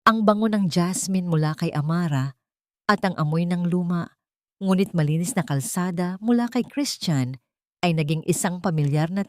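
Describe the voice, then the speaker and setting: A woman narrating a scripted emotional story in a slow, solemn, sentimental manner. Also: on the low side, darkish tone, dry recording, studio quality.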